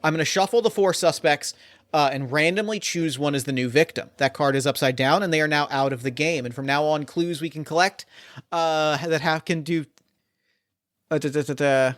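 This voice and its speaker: Halting, easygoing male game master. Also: fairly high pitch, fairly bright tone. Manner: halting, many fillers and restarts while thinking aloud. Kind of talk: casual chat running a solo tabletop game.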